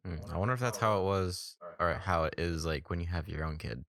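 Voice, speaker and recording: A man's voice: low-pitched, fairly quick, fairly bright tone. A young man co-hosting a podcast, musing in laid-back casual chat. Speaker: podcast co-host.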